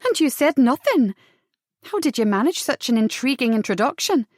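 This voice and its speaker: A woman playing a gentleman's lively, curious sister in an audiobook, animated and teasing in manner. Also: high voice, normal speed, no room sound, studio quality, pitched above the performer's own voice, close to an everyday voice. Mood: delighted. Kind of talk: audiobook character dialogue.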